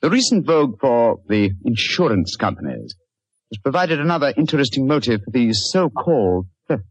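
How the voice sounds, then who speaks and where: An actor playing a proud, incisive English gentleman detective in 1940s radio style, clipped and formal, on a vintage recording. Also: medium pitch, very animated, normal speed, breathy edge, no echo, muffled recording. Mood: probing.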